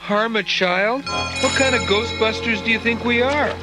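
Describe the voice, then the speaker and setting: An actor playing a sarcastic, glib ghost hunter in an animated series. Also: male voice, very high voice, moderate pace. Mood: indignant, flippant. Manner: sardonic, glib. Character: wisecracking, sarcastic adult male cartoon ghost hunter. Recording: loud soundtrack music behind.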